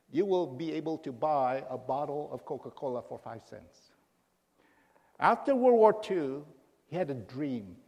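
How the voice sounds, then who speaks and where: A middle-aged man from Indonesia preaching to a congregation as a guest pastor, in a relaxed way with many pauses and fillers. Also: medium pitch, long reverb tail. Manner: informal, halting with many fillers. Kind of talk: sermon. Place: church sanctuary.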